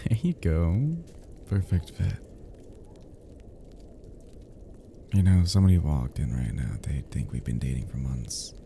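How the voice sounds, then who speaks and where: Deep, velvety man playing a tender male friend. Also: lively intonation, normal speed, vocal fry, a little hiss or hum, exaggerated voice. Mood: gently teasing. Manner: soft, murmuring, gently teasing, affectionate. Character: tender, flirty male best friend lulling listener to sleep. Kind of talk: intimate roleplay ASMR.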